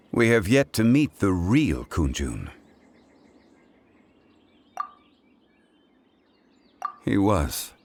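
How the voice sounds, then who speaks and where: A man playing a calm ancient god in human guise in a video game, speaking in a measured, formal way. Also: sits low, a little hiss or hum, exaggerated voice. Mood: calm, explanatory. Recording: music playing behind the voice.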